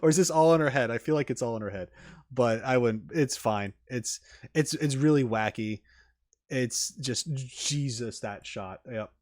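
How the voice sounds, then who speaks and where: A man talks through his reactions as a casual video host, in an average-pitched voice recorded close and dry. He rambles haltingly, interrupting himself and leaving thoughts unfinished before picking them up again. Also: a little mellow, no echo. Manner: halting, self-interrupting, trailing off mid-thought; casual swearing. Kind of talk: casual rambling game-trailer commentary.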